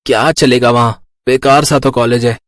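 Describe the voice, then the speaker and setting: A man's voice: medium pitch, steady tone, normal speed, a bit bright, dry recording, natural voice. A man voicing an entitled college-age younger brother in a cartoon story, boyish and wheedling. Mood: sulky, wheedling. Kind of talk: Hindi cartoon moral story acting.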